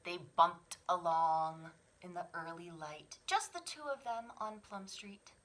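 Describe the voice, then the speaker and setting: A woman reading a picture book aloud to children, dramatically, moving between hushed whispers and sudden swells. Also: fairly low pitch, a little slow. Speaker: children's library story reader.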